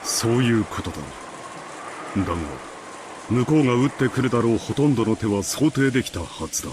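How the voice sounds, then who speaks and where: A male actor playing a formal, self-assured middle-aged gentleman in a Japanese visual novel. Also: medium pitch, racing, noisy background, lightly acted. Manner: formal, authoritative.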